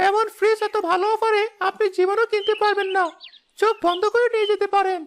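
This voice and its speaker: A woman's voice: very high voice, level tone, fast, a little hiss or hum. A woman voicing an eager, respectful young village shop seller in a cartoon. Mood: eager, deferential. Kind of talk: high-pitched cartoon dubbing.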